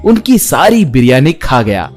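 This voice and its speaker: A man's voice: mid-range voice, sing-song, a little slow, darkish tone. A male narrator with a mid-range voice tells a cartoon story. The delivery is scripted storytelling. Music plays behind him.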